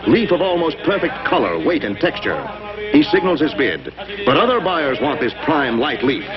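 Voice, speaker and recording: 1950s American announcer, swooping pitch, music behind. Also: male voice, big pitch swings, normal speed, highs cut off. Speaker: commercial announcer. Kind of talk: 1950s cigarette commercial narration.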